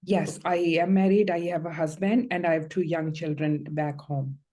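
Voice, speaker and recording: Lower-voiced woman giving steady answers. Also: moderate pace. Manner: steady, explanatory answers with some fillers. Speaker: student playing a visitor client in a mock hearing. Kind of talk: role-play answers in a mock hearing.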